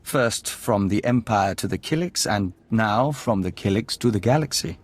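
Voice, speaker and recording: An actor playing a calm, devoted hive-mind envoy in a video game, speaking formally and in a measured, serene way. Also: male voice, on the low side. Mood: polite, thoughtful. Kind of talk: video game cutscene acting.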